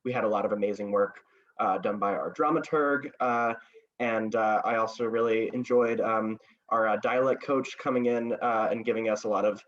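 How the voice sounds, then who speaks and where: Halting man, slightly dull audio. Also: on the low side, a bit bright. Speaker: actor, panelist. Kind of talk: reflective talkback remarks.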